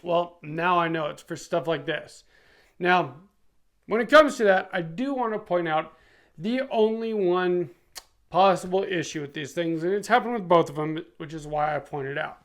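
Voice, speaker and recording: A man's voice: high voice, moderate pace, fairly bright tone. A middle-aged man reviewing gear for his channel in a casual, chatty way. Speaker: product reviewer and YouTube channel host.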